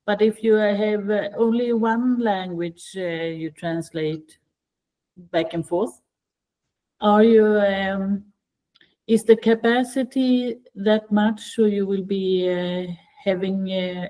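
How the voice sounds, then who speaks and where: A woman asks questions slowly and hesitantly, filling pauses with "uh" and "mm". Her voice is mellow and dark, and her pace is unhurried. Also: medium pitch, fairly even intonation. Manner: hesitant, many "uh" and "mm" fillers. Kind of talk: conversational questions.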